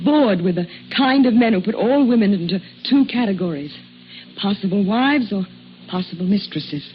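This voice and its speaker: An actress playing a guarded, bewildered, worldly woman accused of murder in a radio drama, speaking in a hesitant, refined and measured way. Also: medium pitch, close to an everyday voice. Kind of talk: 1950s radio drama acting. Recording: muffled, noisy old radio recording.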